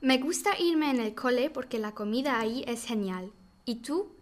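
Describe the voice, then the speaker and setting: A young teenage schoolgirl with a high voice talks through her part of a class radio report. She sounds chatty and upbeat. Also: expressive, fairly quick. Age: young teen.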